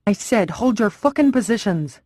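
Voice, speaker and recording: A woman's voice: mid-range voice, expressive, a little slow, mellow, no echo, clean studio sound, pitched above the performer's own voice. A woman voicing a male police commander who screams orders over the radio. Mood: screaming.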